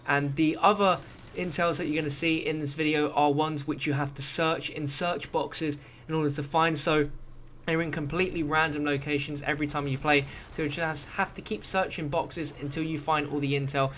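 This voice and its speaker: A man's voice: a little high, level tone, moderate pace, a bit bright, slight background noise. A man speaking casually as the host of a gaming video, giving commentary for viewers.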